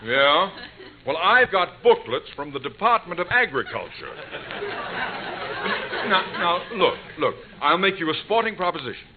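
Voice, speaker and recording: An American actor playing a middle-aged, stubborn, excitable father who blusters and repeats himself in an old radio comedy. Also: on the high side, moderate pace, crowd noise. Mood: stubborn, defensive. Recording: tinny, noisy vintage radio recording.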